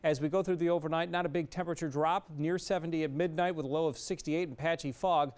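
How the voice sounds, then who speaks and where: American weatherman with a higher-pitched voice. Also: steady tone, fast, a little mellow. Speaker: TV meteorologist. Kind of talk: unscripted weather forecast.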